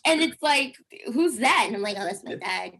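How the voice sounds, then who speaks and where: A young woman with a squeaky speaking voice, a singer chatting over a video call and telling stories with lively acted-out quotes. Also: normal speed, gravelly, breathy edge. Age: young adult. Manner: animated storytelling, acts out quotes with big pitch jumps. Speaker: singer guest. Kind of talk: casual interview chat.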